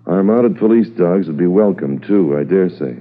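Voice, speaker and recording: An actor playing a weary, conscientious senior police commissioner in a 1950s radio drama, measured and formal. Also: male voice, deep voice. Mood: worried, resigned. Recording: muffled 1950s radio recording.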